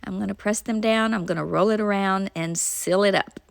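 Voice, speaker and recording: A woman narrates her craft project in a friendly, homey way, explaining each step as she goes. Her voice sits at a middle pitch and is a little stuffy and nasal from a cold. It is recorded close up in a dry, dead room. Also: fairly even intonation, fairly quick, creaky, no room sound. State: nasal from a cold, sore throat. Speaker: craft channel host. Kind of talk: casual craft tutorial voice-over.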